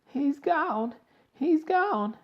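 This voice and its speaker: A man's voice: very high-pitched, lively intonation, very slow, voice pushed up, heavily acted. A man reading aloud and voicing a frantic mother who screams in panic. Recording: dull lo-fi recording.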